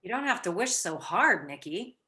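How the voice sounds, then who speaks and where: A woman's voice: mid-range voice, very animated, moderate pace, bright, forceful tone. A woman playing a clever, teasing young society wife with playful, wry delivery in a radio play.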